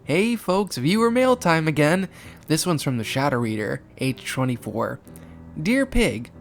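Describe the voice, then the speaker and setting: Man as cartoon pig host, folksy, upbeat, raised pitch, showy delivery. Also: big pitch swings, measured pace, vocal fry, exaggerated voice. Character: folksy cheerful male barnyard pig television host.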